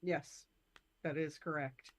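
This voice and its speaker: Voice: mid-range voice, fairly even intonation, slow pace, fairly bright tone, pitched below the performer's own voice. A player voicing a breezy, playful female monster hunter in a tabletop game. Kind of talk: tabletop roleplay in character.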